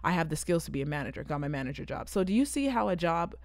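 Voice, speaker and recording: A woman in her twenties with a Southern California accent tinged with British English, co-hosting a podcast. She rambles through animated stories on a close mic. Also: sits low, rapid-fire, dry recording. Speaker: podcast co-host. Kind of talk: casual chat.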